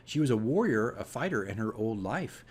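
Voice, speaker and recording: Husky-voiced man, casual and emphatic. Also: fairly low pitch, sing-song, moderate pace. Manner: conversational, emphatic, often checks in with "right?". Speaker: dungeon master and video diary host. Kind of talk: casual explanatory monologue to fellow game masters.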